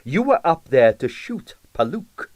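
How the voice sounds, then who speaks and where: A man voicing a shrewd, smooth-talking police detective in an audiobook, questioning cordially and with gentle insistence. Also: a little high, sing-song, unhurried, no room sound, voice pushed up. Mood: gently accusing.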